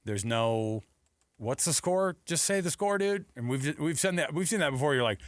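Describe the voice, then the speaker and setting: A man's voice: on the high side, lively intonation, moderate pace, no echo, clean studio sound. A man hosting sports talk radio, chatting in a casual, colloquial, jokey way.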